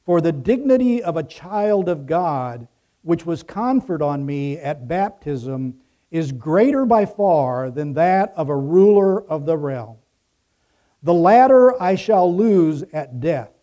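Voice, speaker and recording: A man raised in Ecuador by American parents preaches as a pastor, speaking deliberately in emphatic phrases that trail off softly. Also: a little high, expressive. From Ecuador, raised by American missionary parents. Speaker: pastor preaching to his congregation. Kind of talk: sermon.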